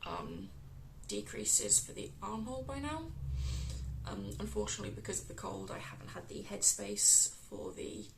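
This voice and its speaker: A woman with a West Midlands accent, hosting a casual crafting podcast, croaky from a cold and filling pauses with ums. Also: medium pitch, wildly swooping pitch, slight background noise, rough recording. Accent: West Midlands English. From England. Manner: chatty, many ums, unhurried.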